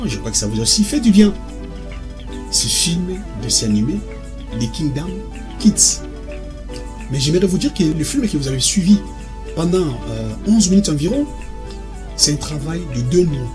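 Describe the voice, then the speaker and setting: A woman with a low, very animated voice addresses viewers informally as a film maker and presenter. Music plays clearly behind her.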